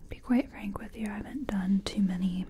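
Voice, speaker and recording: A woman doing soft-spoken ASMR roleplay as a makeup competition contestant, half-whispering in a hesitant, chatty, self-effacing way. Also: medium pitch, fairly even intonation, brisk, a little hiss or hum.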